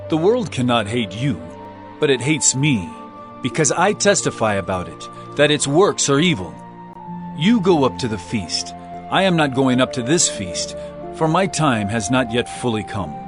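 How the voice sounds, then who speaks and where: A man's voice: mid-range voice, sing-song, moderate pace, lightly acted. An actor playing an impassioned male religious teacher, declaiming earnestly and with authority in a dramatized audio Bible. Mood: solemn, firm. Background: music.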